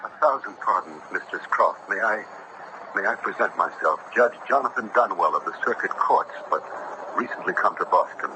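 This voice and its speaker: An actor playing an earnest, compassionate young judge in a 1970s radio drama, courtly, formal and intense. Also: male voice, low voice, moderate pace, natural voice. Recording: noisy vintage radio broadcast.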